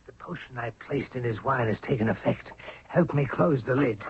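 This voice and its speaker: An actor playing a cold-blooded, scheming royal courtier in a 1970s radio drama, persuasive and deliberate. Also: male voice, medium pitch, slight background noise, lightly acted. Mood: cold plotting. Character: scheming, cold-blooded male courtier plotting murder of the pharaoh. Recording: narrow, tinny 1970s radio recording.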